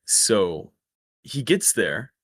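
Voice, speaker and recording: A man with a slightly raspy voice, rambling through a story in casual chat over a voice call. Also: on the low side, big pitch swings, very slow, fairly bright tone, no room sound, studio quality. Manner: rambling, self-correcting storyteller. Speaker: stream guest. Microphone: close microphone.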